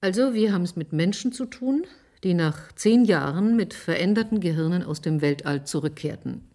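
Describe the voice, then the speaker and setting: An actress playing an assertive, methodical scientist in a radio drama, speaking formally, precisely and insistently. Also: female voice, lowish voice, lively intonation, moderate pace, mellow. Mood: firm, explanatory. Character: assertive, methodical female scientist presenting her theory. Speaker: actor in a radio play. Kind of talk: German radio drama acting.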